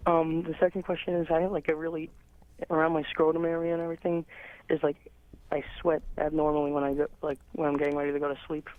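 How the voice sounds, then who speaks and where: A teenage boy with a cold, calling a radio show and asking haltingly, with many fillers. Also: a little high, flat delivery, no echo. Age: teens. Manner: hesitant, halting, full of fillers. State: has a cold. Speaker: caller. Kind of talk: phoned-in question. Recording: dry, muffled phone line.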